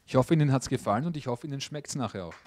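A man's voice: medium pitch, lively intonation, rapid-fire, darkish tone, slight background noise. Man interpreting a cooking class. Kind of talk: consecutive interpreting into German.

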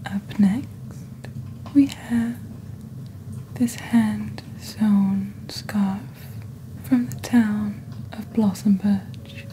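A mysterious witch, acted by a woman, presents magical wares in calm ASMR narration. The voice is soft, breathy and dark-toned, close to a whisper. Each phrase comes slowly and deliberately, close to the mic, over faint background ambience. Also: mid-range voice, slight background noise, rough recording. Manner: slow, deliberate, hushed, theatrical presenting. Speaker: host of a fantasy shopping channel. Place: small dim indoor set. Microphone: close fluffy-covered studio microphone.

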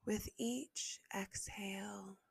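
A woman leading a guided meditation as host, speaking softly and gently in a breathy half-whisper with long, soothing pauses. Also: mid-range voice, steady tone, no echo.

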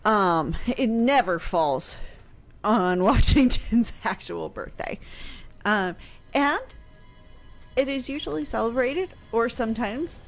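A woman's voice: on the high side, lively intonation, takes it slowly, soft background music. A middle-aged woman in casual radio chat, explaining things conversationally into a close microphone. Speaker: radio show guest explaining history. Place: small home room, indoors. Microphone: close broadcast mic on boom arm, headphones.

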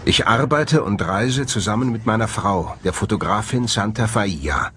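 A man reads scripted documentary narration in a measured, solemn way. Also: fairly low pitch, lively intonation, a bit bright, a little hiss or hum. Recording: music bed under the voice. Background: music.

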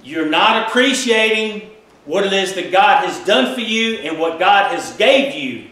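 Pastor guy preaching, fairly high voice, lots of church echo, folksy and animated. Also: unhurried. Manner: folksy, animated, gesturing widely while preaching. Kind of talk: sermon. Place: small church sanctuary. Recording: echoey church room.

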